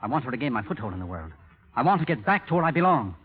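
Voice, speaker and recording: Husky, menacing radio-drama blackmailer. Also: male voice, medium pitch, very animated. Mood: fierce, ambitious. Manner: theatrical, taunting, deliberate. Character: bitter, menacing male blackmailer, coldly calculating and scornful. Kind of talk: 1930s radio drama acting. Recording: dull, lo-fi old radio recording.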